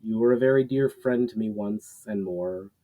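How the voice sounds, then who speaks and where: A man's voice: fairly low pitch, phone-quality sound, lightly acted. Man reading as grave, ancient male mentor. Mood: gentle. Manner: solemn, formal, deliberate.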